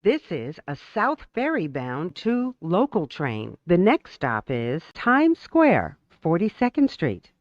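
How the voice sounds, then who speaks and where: An announcer reads scripted subway announcements slowly and formally, with big swings in pitch. The words are measured and carefully enunciated. The sound is dry and somewhat dull. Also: huge pitch swings, no room sound. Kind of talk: scripted automated transit announcements.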